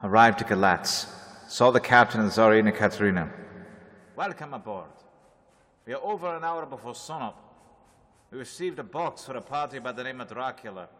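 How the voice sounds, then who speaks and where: A man's voice: medium pitch, moderate pace, a bit bright, close to an everyday voice. A man playing a pursuer reading out journal entries in a radio drama, clipped and urgent. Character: grim male pursuer reading his travel journal. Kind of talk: 1930s-style radio drama acting. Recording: long hall reverb.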